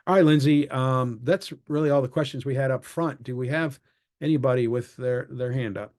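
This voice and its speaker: A man's voice: medium pitch, moderate pace, darkish tone. A man leading an online meeting, giving a conversational update and answering questions with many fillers and restarts. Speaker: advocacy group leader.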